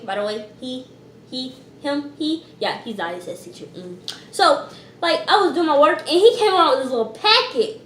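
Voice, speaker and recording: A teenage girl from Georgia, telling a school story in a loud, expressive, slangy way. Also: sits high, echoey room. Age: teens. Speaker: vlogger. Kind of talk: casual storytime.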